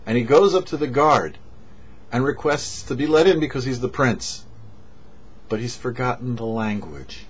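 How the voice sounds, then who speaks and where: A man, a rabbi, giving a short sermon with parables in a measured storyteller's delivery, pausing between phrases. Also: mid-range voice, animated, slightly rough. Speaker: rabbi, host of a weekly Torah portion show. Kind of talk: sermon with storytelling, read for a show. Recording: dull, lo-fi audio.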